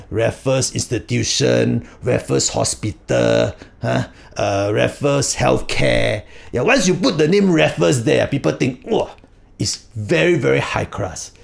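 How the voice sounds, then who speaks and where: A man's voice: medium pitch, vocal fry. A man playing a proud, chatty Singaporean uncle who is a parade fan, speaking with a Singaporean accent and full of rhetorical tags. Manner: chatty, rhetorical tags like right or not and huh. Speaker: comedic character monologue. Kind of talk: comic monologue in Singlish.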